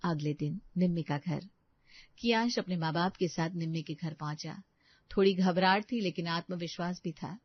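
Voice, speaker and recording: A woman's voice: fairly low pitch, moderate pace, no room sound, muffled recording. A woman reading a scripted story as its narrator.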